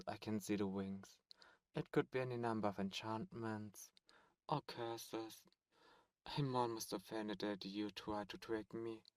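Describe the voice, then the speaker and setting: A man's voice: medium pitch, clear, resonant tone, dry recording, natural voice. A man voicing a suave, cold male demon prince in an ASMR roleplay, speaking in a silky, condescending and menacing way. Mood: scornful. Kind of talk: ASMR roleplay audio drama.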